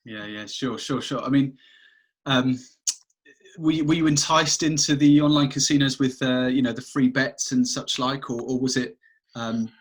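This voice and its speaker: A man of average pitch hosts a podcast interview. His voice is husky and a little breathy. He affirms the guest often and asks questions with many restarts. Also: steady tone. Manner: supportive, repeats "sure, sure", halting questions. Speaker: podcast host.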